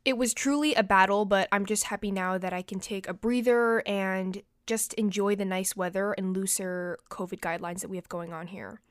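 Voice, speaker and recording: A woman's voice: medium pitch, steady tone, moderate pace, hardly any pauses, dry recording, studio quality. Stuffy-nosed young woman podcast host. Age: young adult. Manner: chatty and confiding, many "like" and "you know" fillers. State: stuffy nose from allergies. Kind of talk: casual solo podcast chat.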